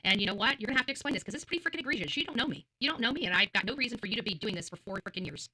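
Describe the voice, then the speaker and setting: Female livestreamer, blunt and combative, sharp forceful voice, racing pace, hammers phrases by repetition. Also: on the high side, animated, rapid-fire, husky. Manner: combative, confrontational, blunt and profane; emphatic, repeats phrases. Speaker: livestream host. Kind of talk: livestream rant to viewers.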